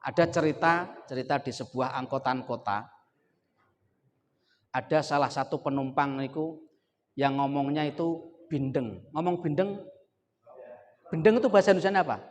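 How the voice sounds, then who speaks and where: A man's voice: a little high, moderate pace, long pauses. A man with a Javanese accent preaching a sermon, repeating key words for emphasis and joking with the audience. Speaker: Islamic preacher. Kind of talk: sermon with comic story. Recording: echoey hall, faint noise.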